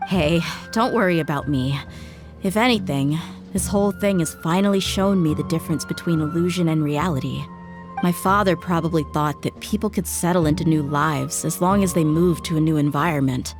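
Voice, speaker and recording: An actress voicing a tough, reflective female mercenary in a video game, speaking calmly and candidly. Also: low voice, normal speed, over music, natural voice.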